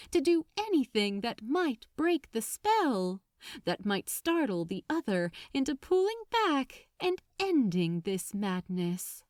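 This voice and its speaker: Slow, expressive high-voiced woman narrator. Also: no echo, studio quality. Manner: deliberate, dramatic pauses between phrases. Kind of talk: dramatic scripted story narration.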